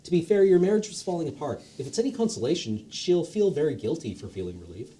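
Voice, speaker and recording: A man's voice: fairly high pitch, sing-song, quick pace, dark tone, a little hiss or hum, pitched above the performer's own voice. Chill chatty god guy, kinda teacherly, voice bounces around a lot, read by a man. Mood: offhand. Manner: breezy and offhand, teacherly. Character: casual, chatty male God, patient and amused.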